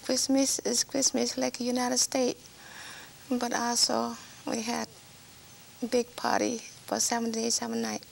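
Gravelly, halting woman from Vietnam. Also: on the high side, completely flat delivery, a little hiss or hum. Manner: halting non-native English with broken grammar. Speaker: interview guest. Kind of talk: personal life story in conversation. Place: small TV studio set.

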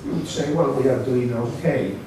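A man in his sixties from Spain with a Spanish accent, giving a seated conference presentation with a halting, hesitant delivery. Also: mid-range voice. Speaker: academic speaker, research council member. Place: seminar room, indoors. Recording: very echoey room, distant mic, faint noise.

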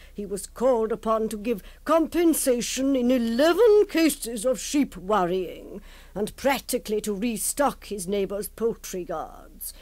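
An actress playing an imperious, unflappable aristocratic baroness in a radio drama, haughty and drily exasperated. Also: female voice, fairly high pitch, a little mellow. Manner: haughty, brisk commands, dry understatement. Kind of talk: radio drama acting and wry first-person narration.

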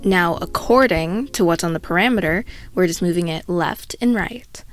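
A woman's voice: fairly low pitch, moderate pace, faint music behind. A young woman with a stuffy, congested voice, talking casually through an art tutorial voice-over with self-mocking asides. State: congested from a cold.

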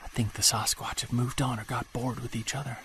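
An actor playing a Bigfoot hunter on an expedition, talking low and excited into his field recorder, with faint outdoor sound behind him. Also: fairly quick, a little hiss or hum, lightly acted. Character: obsessed male Bigfoot hunter alone in woods. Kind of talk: audio drama field-recorder monologue. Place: woods at night and day.

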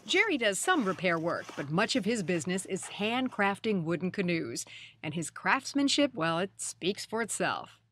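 A woman's voice: lowish voice, big pitch swings, moderate pace, fairly bright tone, a little hiss or hum. Wry female voice-over narrator. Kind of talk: scripted narration. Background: background music.

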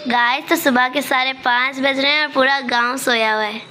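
A woman's voice: high-pitched, steady tone, normal speed, pushed and bright, faint music behind. A young woman narrating a vlog as a voice-over, on a noisy amateur phone recording. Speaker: vlogger.

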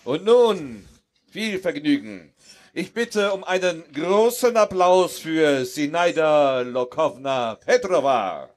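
A man's voice: on the high side, expressive, slow. A middle-aged man playing a genial, ceremonious Soviet ambassador in a live radio play, with formal, florid phrasing. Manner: formal, florid, speech-making. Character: genial, ceremonious Soviet ambassador hosting a New Year reception. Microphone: headset on an outdoor festival stage.

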